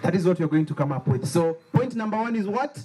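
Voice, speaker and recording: A man with a Liberian accent leading a lively workshop, joking and getting the audience to repeat key words after him. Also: high voice, quick pace, slight background noise. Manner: animated, playful, has the audience repeat words. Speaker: workshop facilitator. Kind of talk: interactive call-and-response workshop. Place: workshop room with a live audience.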